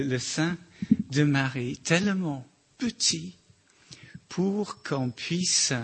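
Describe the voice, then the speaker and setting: A man with a slight accent giving a halting, informal sermon reflection with many restarts. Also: a little high, breathy edge. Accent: slight foreign accent in French. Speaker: church member sharing a reflection.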